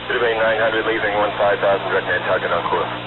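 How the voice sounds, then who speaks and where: A man speaks as an air traffic controller in brisk, businesslike radio phrasing. His voice is slightly low and the words come very quickly. The sound is narrow and tinny, like a radio transmission, with heavy noise over it. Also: steady tone. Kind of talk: rapid clipped radio instructions. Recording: noisy narrowband aviation radio recording. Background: radio static and noise.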